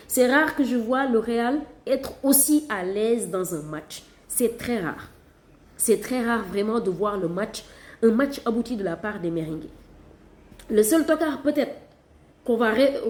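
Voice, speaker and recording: A woman from Catalonia reviewing football matches in a casual, animated way, stressing points by repeating them. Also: a little high, echoey room. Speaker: football channel host.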